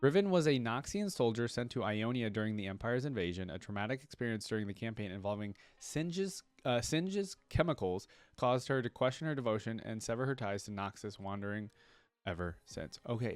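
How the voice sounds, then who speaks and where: A man's voice: medium pitch, big pitch swings, a little fast, dry recording. A man reading game lore aloud as a reaction creator, breaking off for casual conversational asides.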